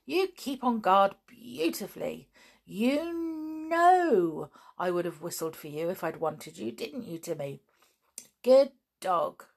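A woman's voice: lowish voice, very animated, measured pace. A woman voicing a bold, spirited girl who is firm with strangers and fond of her dog.

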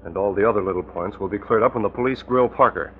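An actor playing a self-assured, courteous gentleman boss in a 1940s-style radio drama. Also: on the low side, quick pace, a little hiss or hum, close to an everyday voice. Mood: brisk. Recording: muffled, humming old radio recording.

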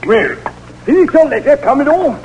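An actor playing a chatty, easily frightened prison guard in a 1940s radio drama, on a noisy old recording. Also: male voice, extremely high pitch, sing-song, moderate pace, music in the background.

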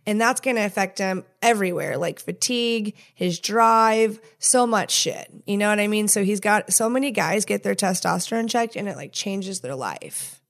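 A woman's voice: mid-range voice, normal speed, hardly any pauses. A woman talking casually and candidly in an interview, frank and swearing freely. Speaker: podcast host appearing as guest.

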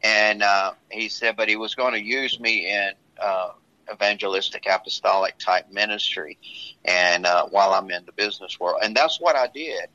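A man who is a pastor, talking earnestly and at a measured pace about his own story, often restarting his sentences. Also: fairly low pitch, pushed and bright. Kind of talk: casual talk sharing a testimony. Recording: dull lo-fi sound.

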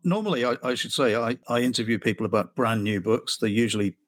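A man's voice: mid-range voice, fast talker, dry recording. A man hosting a podcast and interviewing book authors in a curious, conversational way. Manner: inquisitive, conversational.

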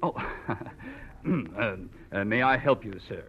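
Prissy, swooping hotel desk clerk. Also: male voice, on the low side, lively intonation, slow pace, gravelly, full character voice. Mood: fawning. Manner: affected, precise, fluttery, gushing politeness. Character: prissy, fussy male hotel desk clerk, easily exasperated. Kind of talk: 1940s radio comic character acting. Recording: muffled vintage radio recording.

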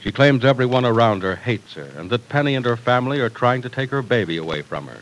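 A man's voice: expressive, fast, a little hiss or hum, rough recording. A man announcing and selling for a 1940s radio drama, upbeat and persuasive. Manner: upbeat, persuasive sales pitch.

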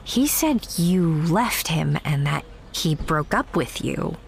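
A woman's voice: sits low, expressive, slow pace, vocal fry, background noise, full character voice. A young woman playing a candid, skeptical theater girl in a naturalistic audio drama, conversational but pointed. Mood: guarded, curious.

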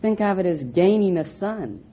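A performer voicing a cheeky, deadpan young boy who is posing as someone's son, in a 1940s radio comedy. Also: medium pitch, normal speed, dull audio. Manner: deadpan, wisecracking.

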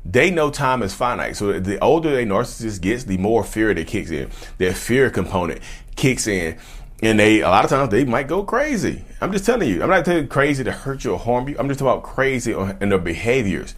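A man's voice: lowish voice, big pitch swings, brisk. A man talks casually and straight to his viewers, in a colloquial, emphatic way, repeating phrases for stress. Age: late 30s. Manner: colloquial, folksy address to listeners. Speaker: vlogger speaking about his own narcissism. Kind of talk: casual direct-to-viewer talk.

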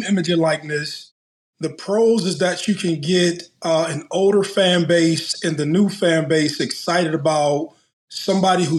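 A man co-hosting a podcast in a studio, laying out his points step by step in a thoughtful way. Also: fairly high pitch. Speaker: podcast co-host. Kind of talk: casual chat, measured explanation.

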